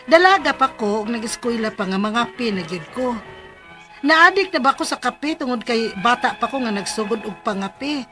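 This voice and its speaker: A woman's voice: fairly high pitch, lightly acted. A woman with a Cebuano accent acting a weary, coffee-addicted working mother who confides her troubles in a radio drama. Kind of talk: Philippine radio drama acting and first-person letter narration. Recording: music bed under the voice.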